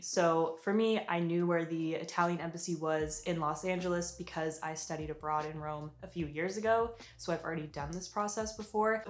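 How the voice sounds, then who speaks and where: A woman's voice: on the low side, roomy sound. A young American woman chatting upbeat and casual in an advice vlog, with lots of fillers. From United States. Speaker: vlogger.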